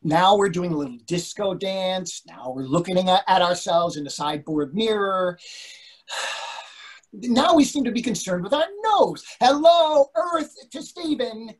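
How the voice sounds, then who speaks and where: A man's voice: high voice, expressive, unhurried. A man in his sixties playing an irritable, sarcastic husband in a readers theatre comedy over a video call. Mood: exasperated. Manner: sarcastic, exasperated. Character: irritable, indignant older husband dining out.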